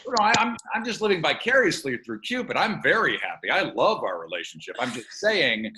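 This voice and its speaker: Guy as easygoing boastful boss god in a comedy read, buddy-buddy, real animated bright voice. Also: fairly high pitch. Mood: chummy. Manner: casual, chummy, halting. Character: Zeus, genial male boss god, casually boastful. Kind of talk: comedy script table read.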